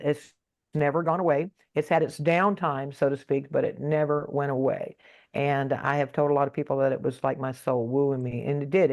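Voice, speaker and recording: A woman speaking as a spiritual guest in a casual interview, halting and repeating her words with many fillers over a video call. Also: low voice, a little mellow, dull audio.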